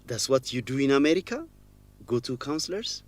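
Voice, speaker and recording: A man's voice: medium pitch, big pitch swings, a little fast, lightly acted. A man acting a serious, direct young student in a radio drama. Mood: curious. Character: serious, guarded young Ethiopian student, an orphan.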